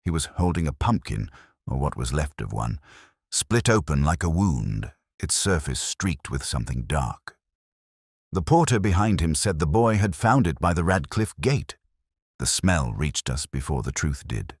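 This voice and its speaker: A man narrating a scripted detective story as a brooding police inspector, in a measured, solemn, literary way. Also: rumbling low, big pitch swings, slightly rough, no echo, clean studio sound.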